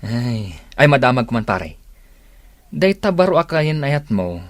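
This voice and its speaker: A man's voice: medium pitch, sing-song, measured pace, no echo. An actor playing a nosy, bantering male buddy in a radio drama, needling and exclaiming, with an Ilocano accent. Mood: mocking, needling.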